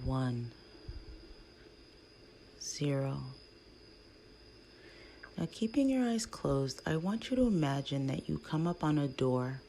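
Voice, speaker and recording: A woman leading a guided meditation in a hushed, soothing, breathy voice with long pauses between phrases. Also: low-pitched. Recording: faint background noise.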